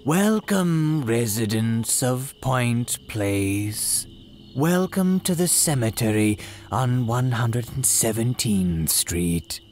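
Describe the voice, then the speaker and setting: An actor playing a very old, sinister yet humble cemetery priest in a solemn, archaic radio horror monologue. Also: male voice, mid-range voice, lively intonation, a little mellow, a little hiss or hum. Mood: ominous welcome. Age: older. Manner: archaic, solemn, drawn-out dramatic delivery. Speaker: horror storyteller.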